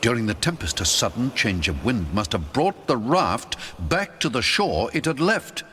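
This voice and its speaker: A man's voice: medium pitch, very animated, moderate pace, bright, forceful tone, husky. A man narrating an adventure story in a dramatic, theatrical scripted style.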